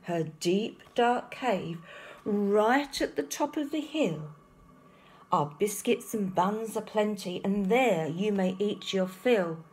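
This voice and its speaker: A woman's voice: medium pitch, lively intonation, slow, breathy edge, rough recording. A woman voicing a plucky, cunning young female duck in a storybook, with a coaxing manner. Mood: coaxing, sly. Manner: quacking, coaxing.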